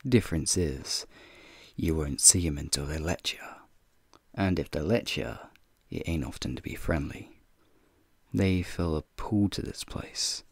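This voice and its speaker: A man voicing a flirty, teasing male werewolf in an ASMR roleplay, with a deep, gravelly, husky voice and folksy slang. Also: a little slow, dry recording, full character voice. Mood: ominous, hushed. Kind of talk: ASMR roleplay, speaking to the listener.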